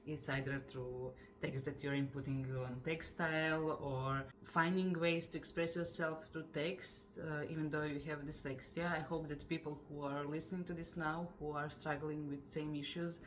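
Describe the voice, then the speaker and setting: Low-voiced woman host, faint noise. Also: little pitch movement, moderate pace, few pauses, rough recording. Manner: encouraging, laughs as she talks. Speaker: podcast host. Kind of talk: casual interview talk.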